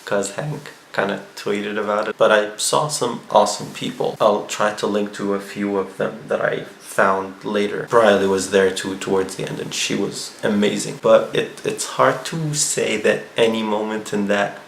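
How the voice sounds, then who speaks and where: Gravelly-voiced man chatting in an echoey room. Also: lowish voice, steady tone, moderate pace, few pauses, fairly bright tone. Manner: chatty, rambling with restarts. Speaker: vlogger. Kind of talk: casual recap vlog. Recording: echoey room with faint ambient noise.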